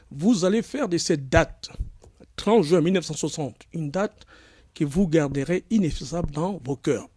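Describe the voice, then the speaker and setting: A man with a fairly high voice delivers an impassioned public statement, pressing each point with growing force. The sound is close and dry, with no room around it. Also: mellow, slightly rough, no echo. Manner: emphatic, rising to anger. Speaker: speaker in played video clip.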